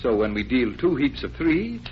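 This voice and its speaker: An actor playing an assured, genial stage magician in 1940s American radio style, explaining with a deliberate showman's manner. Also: medium pitch, sing-song, lightly acted. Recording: muffled, noisy vintage radio recording.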